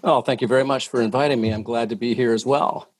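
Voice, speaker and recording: A middle-aged man with a Canadian accent speaks in a calm, matter-of-fact way, often pausing on fillers. His voice is recorded dry and close with no room sound. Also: medium pitch, no room sound. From Ontario, Canada. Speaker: detective and hostage negotiator, guest. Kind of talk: podcast interview, storytelling.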